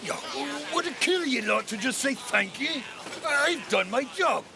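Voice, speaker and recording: A man's voice: very high voice, expressive, unhurried, vocal fry, music in the background, full character voice. A man playing a cocky, boastful pirate helmsman in an audio drama, with a swaggering way of talking. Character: cocky tattered-ship helmsman who loves the tavern. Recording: noisy ship ambience behind voice.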